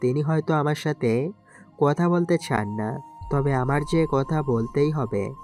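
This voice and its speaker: A man's voice: a little high, unhurried, a little mellow, soft background music. Man acting a plaintive young outcast son. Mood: sorrowful pleading. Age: young adult. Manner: earnest, plaintive. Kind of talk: dramatized audio story acting.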